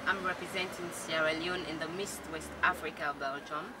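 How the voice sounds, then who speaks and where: Earnest young woman with a lowish voice. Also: steady tone, moderate pace, background noise. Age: 20s. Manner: earnest and effusive. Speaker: pageant contestant. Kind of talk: spoken self-introduction.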